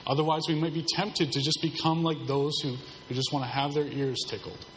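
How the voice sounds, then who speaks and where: A hoarse man preaching a sermon as pastor, keeping a measured, subdued delivery to spare his voice. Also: on the high side, level tone. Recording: hall reverb, faint background noise.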